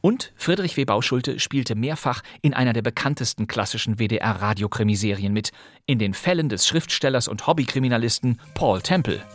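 A man's voice: medium pitch, moderate pace, no room sound. Chatty male podcast host, dry studio. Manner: lively, chatty, speaks to the listener. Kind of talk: scripted podcast narration. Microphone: close studio microphone.

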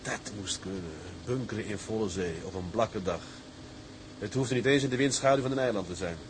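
An actor playing a resolute, thoughtful tugboat captain of the early 1900s in an audio drama, deliberate and commanding. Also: male voice, on the low side, noisy background, natural voice. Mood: musing, measured. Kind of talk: Dutch audio drama acting.

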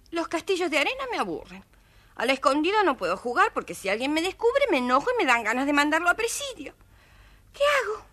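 An actress playing a spoiled, bored, haughty little princess in an audio fairy tale, with a petulant, theatrical manner. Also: female voice, sits high, fairly bright tone.

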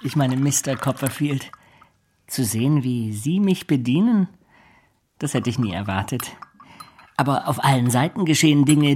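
An actor playing an obsequious, scheming clerk in a German radio drama, unctuous and full of false humility. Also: male voice, medium pitch, darkish tone, breathy edge, close to an everyday voice. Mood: fawning. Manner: fawning, self-abasing, unctuous.

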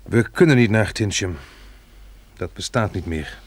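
A man's voice: on the low side, expressive, a little slow, slightly rough. An actor playing a weary, practical male survivor turned farmer in a radio drama, with a hesitant, musing delivery. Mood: grim resolve. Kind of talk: radio drama acting with diary narration.

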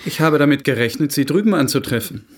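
A man playing a courteous, inquisitive gentleman bachelor and reserved suitor in a German radio drama. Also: a little high, animated, fast. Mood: probing, restrained.

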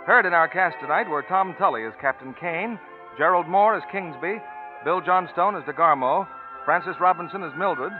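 A man's voice: on the high side, moderate pace, narrow, tinny audio, music in the background. A man announcing 1940s radio ad copy in an emphatic, carefully enunciated way, on an old broadcast recording.

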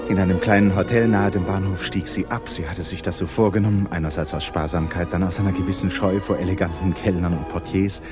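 An actor as the grave, sympathetic narrator of a literary radio play, speaking in a measured way. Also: male voice, low-pitched, steady tone, loud music behind the voice, lightly acted. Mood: calm.

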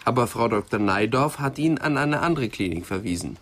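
Alert, courteous police detective, radio crime drama: mid-range voice, animated pitch, sharp follow-up questions. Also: male voice, fairly quick. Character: attentive, quick-witted male police detective.

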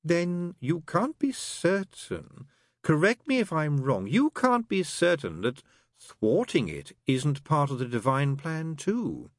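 A man's voice: high-pitched, wildly swooping pitch, slightly rough, no echo, clean studio sound, voice pushed up, heavily acted. Man doing a sly wheedling demon. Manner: wheedling, insistent, drawn-out. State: tipsy. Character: sly persuasive male demon, tipsy.

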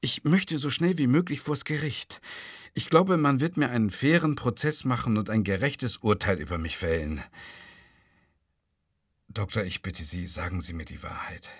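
An actor playing an unhinged psychiatric patient in a radio play monologue, theatrical and rambling, with a husky voice. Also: male voice, medium pitch, normal speed, no echo, studio quality, lightly acted. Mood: pleading. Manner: theatrical, rambling, swinging from musing to agitated. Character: unhinged male psychiatric patient confessing to his doctor.

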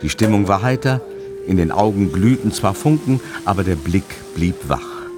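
An actor as the urbane narrator of a radio play from an old novel, measured, literary and wry. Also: male voice, low-pitched, lively intonation. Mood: wry, atmospheric. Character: urbane storyteller narrating a 19th-century novel. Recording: music playing under the voice.

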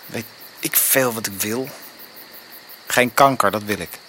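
An actor playing a troubled, evasive husband who speaks hesitantly in a Dutch radio drama. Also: male voice, lowish voice, sharp tone, slight background noise, rough recording. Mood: torn. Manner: hesitant, halting. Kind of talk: Dutch radio drama acting with inner-monologue narration.

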